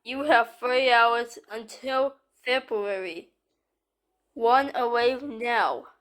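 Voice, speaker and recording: A woman reading a scripted emergency alert announcement in a stilted, deliberate manner. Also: fairly high pitch, fairly bright tone.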